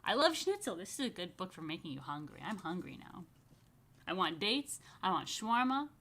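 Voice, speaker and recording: A woman reading a picture book to young families as a rabbi, stretching key phrases expressively and slipping into chatty asides. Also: fairly low pitch. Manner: expressive, slow emphatic storytelling with chatty murmured asides. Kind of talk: children's story read-aloud with casual asides.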